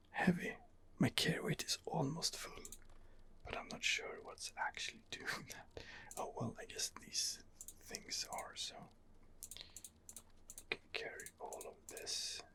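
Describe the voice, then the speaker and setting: A man quietly narrating his role-play game in a breathy ASMR whisper, musing and rambling hesitantly, close to the microphone. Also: a little high, wildly swooping pitch, slow. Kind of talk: ASMR gaming commentary.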